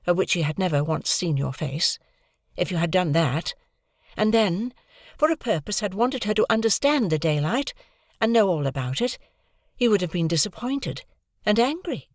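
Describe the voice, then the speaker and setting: A woman voicing a proud, composed young woman who speaks in a measured, cool way, in an audiobook reading. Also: lowish voice, no echo, clean studio sound, pitched above the performer's own voice. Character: cold proud young woman, calm and reasoning.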